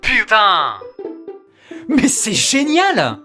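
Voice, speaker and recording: Man playing an eager, naive newcomer, lively pitch. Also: very slow, full character voice. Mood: delighted. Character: naive, eager young newcomer man, easily delighted and apologetic. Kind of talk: audio comedy drama acting. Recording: music behind the voice.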